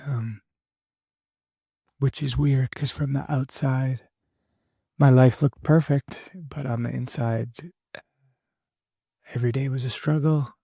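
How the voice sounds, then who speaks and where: A young man losing his voice, talking candidly and haltingly in a reflective personal monologue as a podcast host. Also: medium pitch, fairly even intonation, gravelly, dark tone, breathy edge, dry recording, studio quality. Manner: halting, candid, many fillers and restarts.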